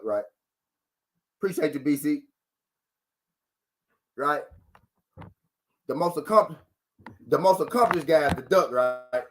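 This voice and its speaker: A man hosting a live boxing talk show, arguing his point with rhetorical questions, repeating himself and greeting viewers from the chat. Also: on the high side.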